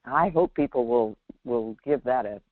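A woman's voice: a bass voice, normal speed, no room sound. A woman teaching conversationally on a spiritual call, explaining with emphatic illustrations. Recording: tinny, dry phone line.

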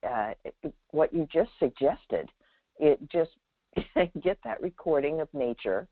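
Woman spiritual teacher, very lively intonation, conversational and illustrative, heard over a dry telephone line. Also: low-pitched, slow pace, dry recording. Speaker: teacher and guide on the call. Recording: tinny, dry phone line.

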